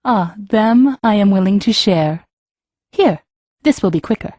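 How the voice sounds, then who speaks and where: A woman voicing a poised empress who speaks in a formal, measured and dryly ironic way, in a storybook reading. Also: medium pitch, lively intonation, darkish tone, dry recording. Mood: wry, regal. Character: regal, wry empress, poised and cool.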